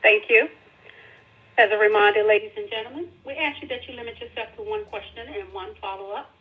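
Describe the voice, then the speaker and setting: A woman's voice: medium pitch, brisk. A woman working as a conference call operator, making formal scripted announcements. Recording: dull phone-like call audio.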